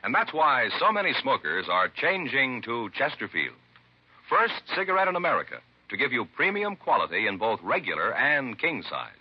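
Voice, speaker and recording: A man working as a radio commercial announcer, giving an emphatic, salesmanlike 1950s advertising pitch. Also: big pitch swings, normal speed, slightly rough, no echo. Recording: dull vintage radio recording.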